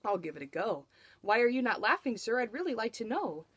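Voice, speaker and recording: Storybook court jester, played by a woman: earnest, hopeful, troubled but determined, expressive rhyming delivery. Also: fairly high pitch, brisk, husky, exaggerated voice.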